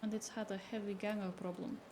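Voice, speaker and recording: A woman's voice: medium pitch, flat delivery, quick pace, darkish tone, a little hiss or hum, natural voice. A woman playing a sassy, bossy, streetwise member of a cyberpunk crew in an audio drama, blunt and teasing.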